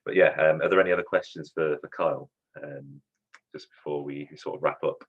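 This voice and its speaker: A man's voice: a bass voice, steady tone, fairly quick, breathy edge, muffled recording. A man hosting an online student society event, friendly and hesitant, with many ums and restarts.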